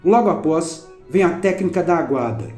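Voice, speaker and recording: A man narrating a documentary from a script in a measured, even storytelling manner. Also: a little high. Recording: roomy narration over soft instrumental music.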